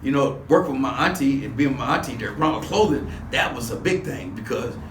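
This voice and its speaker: A man's voice: mid-range voice, lively intonation, a little fast, a bit bright, slight background noise. A man in his sixties from Los Angeles, reminiscing as an interview guest in rambling street slang with many restarts. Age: 60s. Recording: echoey room, mic at a distance.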